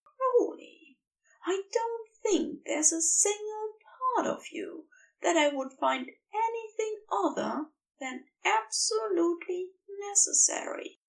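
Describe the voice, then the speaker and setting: An earnest male angel in love, played by a woman reading a romantic story, speaks in a raised, breathy voice. He is tender yet gently firm, with lilting phrases. The sound is a little distant from the microphone. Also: extremely high pitch, big pitch swings, slow pace, pitched above the performer's own voice, heavily acted. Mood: earnest confession.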